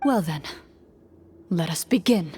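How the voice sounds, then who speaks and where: An actress playing a composed female game master, narrating a fantasy quest in a measured, solemn, dramatic way. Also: fairly low pitch, expressive, dark tone, a little hiss or hum. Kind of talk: scripted narration.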